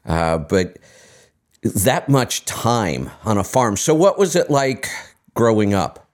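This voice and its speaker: A man's voice: lowish voice, big pitch swings, slow. A man from the United States hosting an interview, curious and easygoing, often laughing along. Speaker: podcast host. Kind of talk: casual interview conversation.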